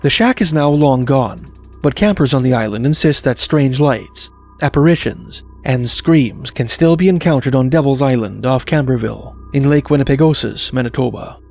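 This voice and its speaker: A man narrating scripted documentary stories of eerie places in a measured, formal, articulate storytelling manner. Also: medium pitch, a little mellow. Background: music.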